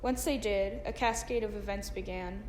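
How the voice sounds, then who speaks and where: A woman's voice: mid-range voice, normal speed, darkish tone. A woman reading a scripted memorial tribute aloud as narrator, with an occasional stumble over the words. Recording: roomy echo with clear background noise.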